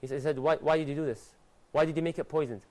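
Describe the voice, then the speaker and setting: A young man presenting a religious TV lesson to studio guests, animated and gesturing, reciting Arabic verses quickly, on a lapel mic in a studio. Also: a little high.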